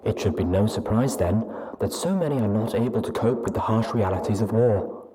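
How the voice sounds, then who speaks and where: A man reads as an old-fashioned public service announcer in a fantasy audiobook. He is formal and earnest, spelling out a clinical warning with deliberate care. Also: lowish voice, mellow, slight background noise, lightly acted, low-quality recording. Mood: solemn, instructive. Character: clinical wartime public health notice voice.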